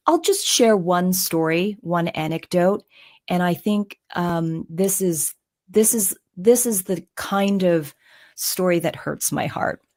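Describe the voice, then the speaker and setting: A middle-aged woman with a low, measured voice, speaking at an unhurried pace. She answers interview questions earnestly, leaning into her points with emphatic storytelling. The sound is close and dry. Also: darkish tone, dry recording. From Canada. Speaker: guest, former news anchor.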